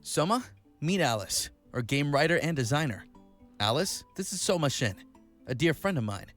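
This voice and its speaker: Expressive, earnest young startup founder. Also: male voice, a little high, normal speed, soft background music. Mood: earnest, proud. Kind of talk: visual novel voice acting.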